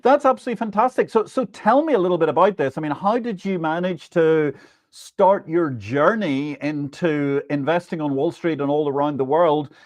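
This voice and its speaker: A man's voice: fairly high pitch, moderate pace, no echo. A man hosting a live stream, delivering news and interview questions in an emphatic, grandiose, theatrical manner. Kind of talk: animated scripted news monologue and guest interview.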